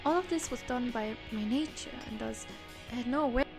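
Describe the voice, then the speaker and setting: A woman's voice: a little high, normal speed, creaky. A woman voicing an ancient, weary otherworldly being in a fantasy game, speaking in a grave, formal, archaic way. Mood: solemn, weary. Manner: formal, archaic, solemn storytelling. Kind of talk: fantasy game character monologue. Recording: music under the voice.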